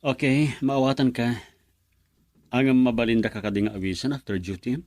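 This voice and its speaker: A man's voice: medium pitch, fairly even intonation, normal speed, darkish tone, no echo, lightly acted. An actor in a radio drama playing an earnest young man who argues with his mother and courts a waitress. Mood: courting. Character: young man chasing a mysterious bar girl.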